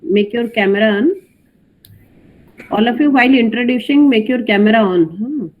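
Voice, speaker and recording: Woman teacher doing roll call online, brisk, asks blunt questions. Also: a little high, phone-quality sound. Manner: brisk, questioning, non-native phrasing.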